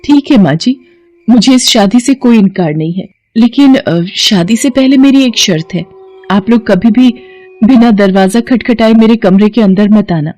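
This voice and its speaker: A woman voicing a young witch who poses as a meek bride, soft and polite or coldly threatening, in a horror story. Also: mid-range voice, moderate pace, lightly acted, low-quality recording. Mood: polite, composed. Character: young female witch posing as meek bride, turning menacing. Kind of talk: dramatised Hindi horror story acting.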